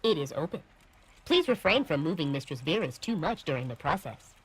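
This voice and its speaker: Woman as husky, emotionless ship's computer. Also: deep voice, brisk, exaggerated voice, rough recording. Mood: neutral. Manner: formal, even, emotionless. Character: calm, literal, obedient ship's computer. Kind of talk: audio drama computer announcements.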